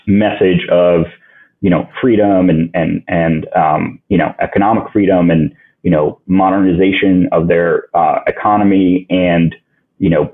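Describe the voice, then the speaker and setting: A man from Ukraine whose first language is Russian, a podcast guest answering in a halting way that thinks aloud with many restarts, heard over a phone-line recording. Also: sits low. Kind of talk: casual interview answers. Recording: tinny phone-line sound.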